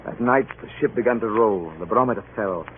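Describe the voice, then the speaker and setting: An actor playing a troubled ship's captain in an old radio drama, formal, measured and authoritative. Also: male voice, on the low side, lightly acted. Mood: brooding. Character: grave, troubled ship's captain narrating his voyage. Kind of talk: 1940s radio acting. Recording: tinny, noisy old radio broadcast.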